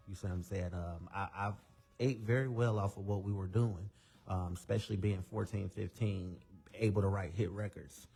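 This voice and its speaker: A young man speaking as a guest singer in a casual radio interview, relaxed and candid as he tells his story. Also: sits low, creaky, husky.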